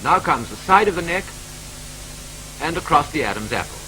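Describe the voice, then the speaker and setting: Brisk British 1940s newsreel narrator. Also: male voice, fairly high pitch, very animated, bright, forceful tone, low-quality recording. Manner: brisk, clipped, wryly matter-of-fact. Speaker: training film narrator. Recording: old film soundtrack with faint hiss.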